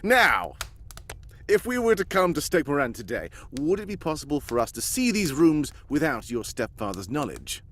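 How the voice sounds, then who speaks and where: A performer playing a self-assured, probing detective in a live old-time radio mystery, with a pompous, deliberate delivery. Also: medium pitch, big pitch swings, a bit bright. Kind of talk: live 1940s radio mystery acting with comic ad-libs.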